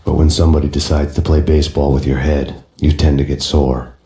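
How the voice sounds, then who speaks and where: An actor playing a brooding, hard-boiled man narrating a crime game in a weary deadpan. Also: a bass voice, brisk. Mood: grim, wry. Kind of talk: scripted noir narration. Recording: roomy reverb, music behind.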